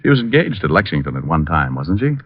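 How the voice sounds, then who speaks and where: A man's voice: extremely low pitch, sing-song, fast talker, exaggerated voice. An actor playing a wry, probing male private detective in 1940s radio drama style. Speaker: lead actor and narrator. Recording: dull old radio recording with music.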